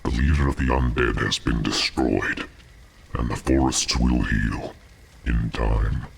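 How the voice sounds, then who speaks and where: A man playing a grim, proud elf turned demon in a game cinematic, with a very deep gravelly growl. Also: steady tone, measured pace, full character voice. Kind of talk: video game cinematic acting.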